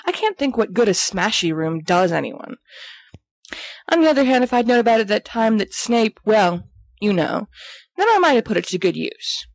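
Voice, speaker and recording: A woman's voice: mid-range voice, very animated, moderate pace, dry recording. A woman playing a vain, theatrical teenage schoolboy, reading his letters aloud in a chatty, jokey, melodramatic way. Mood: excited, chatty. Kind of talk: reading letters aloud in character, audiobook-like fan recording.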